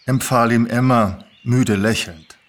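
Male narrator, medium pitch, scripted live story reading. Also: takes it slowly. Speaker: narrator, actor. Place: small theatre, live recording.

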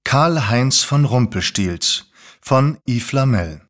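Male narrator, medium pitch, scripted live story reading. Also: expressive, slow pace, music in the background. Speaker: narrator, actor. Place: small theatre, live recording.

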